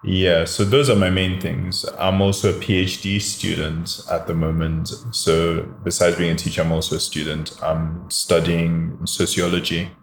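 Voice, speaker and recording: A man in his forties from Ghana and London, a lecturer and DJ, speaking in a relaxed, measured way as a podcast guest. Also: deep voice. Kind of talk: interview conversation. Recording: echoey room, faint noise.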